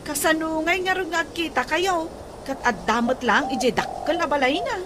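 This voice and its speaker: High-pitched curious Ilocano daughter, acted by a woman. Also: moderate pace, background noise, lightly acted. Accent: Ilocano Filipino. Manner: inquisitive. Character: curious young daughter questioning her mother. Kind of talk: Ilocano radio drama acting.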